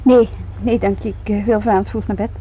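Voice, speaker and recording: An actress playing a cautious, weary deputy head of a music school in a radio drama, reserved and hesitant. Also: female voice, medium pitch, fairly even intonation, quick pace, breathy edge, natural voice. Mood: tired, confiding. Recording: dull radio play, faint noise.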